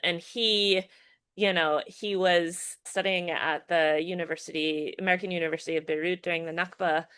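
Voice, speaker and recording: Woman, low-pitched, dry close sound, thoughtful podcast guest, frequent restarts. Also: steady tone, normal speed, a bit bright, clear, resonant tone, no room sound. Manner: thoughtful, restarts often, many fillers like "you know". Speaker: filmmaker and educator guest. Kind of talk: casual podcast interview.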